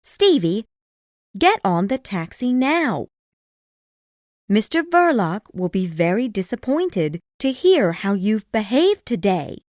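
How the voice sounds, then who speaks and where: A man reading a story aloud voices a firm young woman scolding her brother and her mother, sharp and insistent. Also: high voice, big pitch swings, slow, no echo, clean studio sound, pitched above the performer's own voice, exaggerated voice. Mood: stern.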